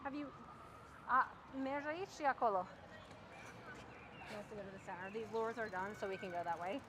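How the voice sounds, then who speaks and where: A woman's voice: mid-range voice, moderate pace. Chatty streamer woman, first language romanian, on a town square, noisy street behind. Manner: chatty, laughs often, reads chat aloud. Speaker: live streamer. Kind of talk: casual live-stream chat while playing outdoors. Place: outdoors in a town square in the evening.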